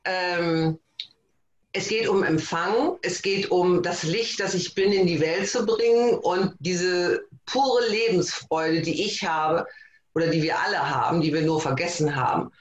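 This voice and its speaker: A man from East Frisia, a guest in a casual interview, talking in an excited, bubbly way. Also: high voice, unhurried, reverberant, slight background noise. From East Frisia, Germany. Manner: excited, bubbly, self-described as overexcited. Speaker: guest, course facilitator.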